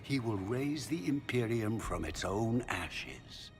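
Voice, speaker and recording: An actor playing a proud, scheming middle-aged magister in a video game, theatrical and pompous with silky menace. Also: male voice, fairly low pitch, expressive, slow. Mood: fervent. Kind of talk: dramatic video game voice acting. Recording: long hall reverb, music underneath.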